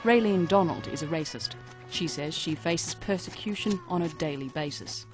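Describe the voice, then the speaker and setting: A woman playing a formal, deadpan news reporter in a comedy spoof. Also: deep voice, moderate pace, faint music behind, lightly acted. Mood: serious, deadpan. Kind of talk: mock news report narration.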